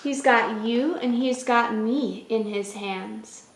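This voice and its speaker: A woman's voice: on the high side, takes it slowly, slight background noise. A woman hosting a children's story time, reading aloud and explaining in a simple way. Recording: roomy echo with music.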